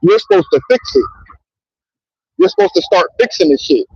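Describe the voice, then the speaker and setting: Husky man rambling in profane casual talk. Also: high-pitched, huge pitch swings, normal speed, darkish tone, dry recording, dull audio. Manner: profane, loose, repeats "you know what I mean". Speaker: vlogger. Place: outdoors in a public area near trains. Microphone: handheld mic.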